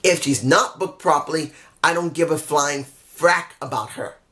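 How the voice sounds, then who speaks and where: A man giving a candid, rambling review of a wrestling show, frustrated at times, with a voice that is going hoarse. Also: on the high side, unhurried, bright, forceful tone. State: losing his voice. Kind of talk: casual opinionated review.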